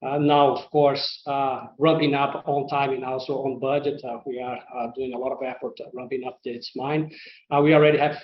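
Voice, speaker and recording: A man who speaks English as a second language, presenting company results to investors as an executive, in a halting, hesitant way with repeated words. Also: medium pitch, level tone. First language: not English. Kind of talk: semi-scripted earnings call presentation to investors. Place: conference call line in a roomy space. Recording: roomy, muffled conference-call audio with faint noise.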